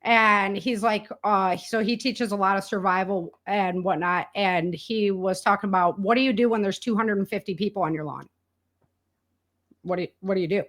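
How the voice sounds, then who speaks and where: A woman with a mid-pitched voice talks as a guest in a casual interview. Her phrasing is colloquial and emphatic. She readily slips into quoting people as part of her spontaneous style. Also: steady tone, normal speed, fairly bright tone. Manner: colloquial and emphatic, acts out quoted remarks. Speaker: interview guest, author and podcaster on survival prepping.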